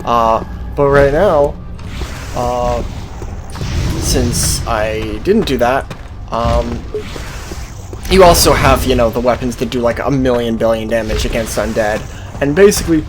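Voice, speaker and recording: A young adult gaming streamer giving casual, sweary gameplay commentary in a sarcastic, mocking way. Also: mid-range voice. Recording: voice over game music.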